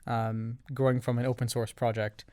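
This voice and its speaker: A man from Canada speaking as a podcast guest, with a smooth, clear voice in a dry, close recording. He is measured and breaks his explanations into ordered points. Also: mid-range voice, little pitch movement, dark tone, dry recording. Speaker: guest, revenue operations leader. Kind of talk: interview answers.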